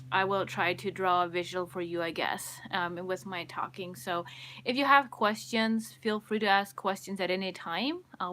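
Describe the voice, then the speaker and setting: A woman whose first language is Pennsylvania Dutch, hosting a casual livestream from a room at home, talking in an unhurried, hesitant way full of ums and restarts. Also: medium pitch, bright, forceful tone. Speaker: livestream host. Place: home room with sunlit curtains.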